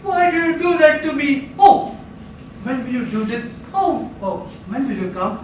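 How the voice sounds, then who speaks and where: A stage actor playing a lonely, restless rooming-house drifter, rambling theatrically and dwelling on words in halting repetitions. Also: high-pitched, a little mellow, slight background noise. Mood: anguished pleading. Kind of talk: live stage play monologue. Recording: echoey theatre captured from far off, faint music.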